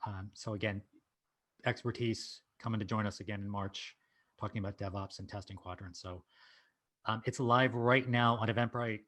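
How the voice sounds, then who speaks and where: A man hosting an online meetup, giving event announcements and introducing the speaker in a relaxed, informal way. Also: on the low side. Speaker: meetup host.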